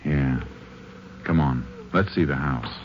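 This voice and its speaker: An actor playing a steady, firm Texas Ranger in a radio western, terse and plain-spoken. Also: male voice, rumbling low, slow pace. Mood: calm, businesslike. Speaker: lead of the show, also narrating. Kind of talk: 1950s radio western acting and narration. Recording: muffled old radio recording, faint hiss.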